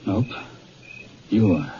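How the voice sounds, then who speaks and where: Low, curt young outlaw. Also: male voice, expressive, slow, warm tone. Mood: cold, plotting. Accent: American. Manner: guarded, curt. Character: touchy, cold-blooded young male outlaw, the fussy kid brother. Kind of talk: 1950s radio western acting. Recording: dull, hissy vintage radio recording.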